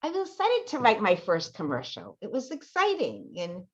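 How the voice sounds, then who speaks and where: A woman's voice: medium pitch, wildly swooping pitch, moderate pace. An older woman chatting earnestly and casually in a discussion, often adding "you know". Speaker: workshop participant, amateur writer and artist. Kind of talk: casual post-show discussion. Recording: dull lo-fi call audio.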